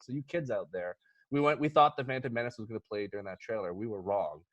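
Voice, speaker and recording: A man in his thirties hosting a casual movie podcast, talking in a halting, bantering way and teasing the others. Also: fairly low pitch, dry recording, dull audio. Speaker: podcast host.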